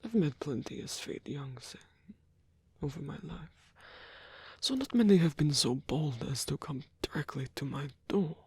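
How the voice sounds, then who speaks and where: Husky, whispering old male vampire, acted by a man. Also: on the high side, dry recording, heavily acted. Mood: flirtatious, teasing. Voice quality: breathy, husky half-whisper. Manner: slow, halting, softly teasing. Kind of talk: ASMR roleplay.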